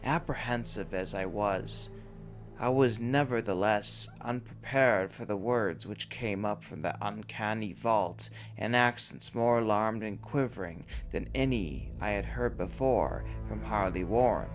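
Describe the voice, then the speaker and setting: Slow, grave man narrating horror. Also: fairly low pitch. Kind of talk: scripted dramatic horror narration. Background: background music.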